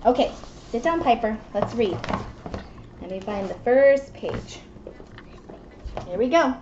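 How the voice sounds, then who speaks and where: Woman, 30s, children's read-aloud presenter; unhurried, higher voice, simple explanations, faintly noisy recording. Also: lively intonation. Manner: simple child-directed explaining with frequent check-in questions. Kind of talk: reading a picture book aloud and chatting to a small child. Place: small classroom, indoors. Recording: muffled, echoey webcam audio with noise.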